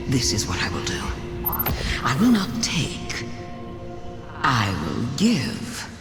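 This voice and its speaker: A woman's voice: sits low, exaggerated voice. A woman playing a proud, scheming alien witch queen in a video game, delivering theatrical, menacing and grandiose lines. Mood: menacing resolve. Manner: theatrical, deliberate, drawn-out delivery. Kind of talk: dramatic video game monologue. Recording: long echoing reverb, music behind.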